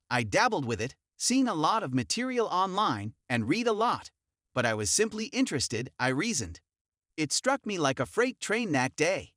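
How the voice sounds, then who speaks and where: A man tells an online forum story in the first person, his voice pitched a little high and moving up and down a lot. The sound is dry and close, with no room around it. He keeps an even storytelling manner. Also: dry recording. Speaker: narrator.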